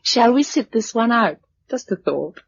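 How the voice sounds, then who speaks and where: A woman's voice: fairly high pitch, big pitch swings, a little slow, gravelly, husky, exaggerated voice. An actress playing a frank, teasing and practical young woman survivor, speaking in breezy radio drama dialogue. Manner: breezy, matter-of-fact. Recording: dull radio recording.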